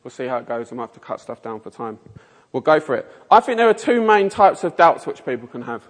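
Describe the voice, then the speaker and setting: A man's voice: medium pitch, very animated, fast talker, big-room sound. A man in his forties from Kent with a Mockney, south-east English accent, preaching an informal sermon in a chatty, rambling, self-mocking way with plenty of fillers. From Kent, England. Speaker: lay preacher. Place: church.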